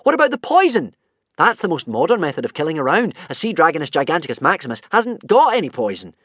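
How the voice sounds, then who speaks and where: A man voicing a clever young Viking boy, shouting urgently and fast-thinking under pressure. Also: fairly high pitch, wildly swooping pitch, no room sound, voice pushed up, exaggerated voice.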